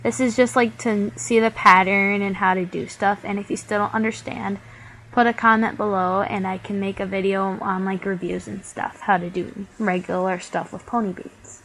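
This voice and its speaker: A woman's voice: mid-range voice, steady tone, normal speed, gravelly, fairly bright tone, low-quality recording. Young woman, congested, casual, chatty, step-by-step craft instructions. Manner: chatty, many "like" fillers. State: stuffy nose from a cold. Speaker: craft tutorial host.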